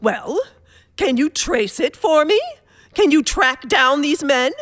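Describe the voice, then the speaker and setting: A woman's voice: sits high, expressive, slow pace, a bit bright, no room sound, studio quality, heavily acted. A woman playing an imperious, panicky telephone caller in a radio thriller, melodramatic and insistent. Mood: flustered, urgent.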